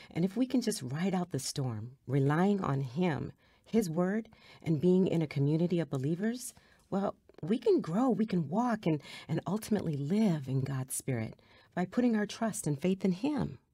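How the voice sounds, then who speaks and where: An actress playing a calm, devout mother in an audio drama, counseling a friend in a measured, encouraging way. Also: low voice, husky, no echo.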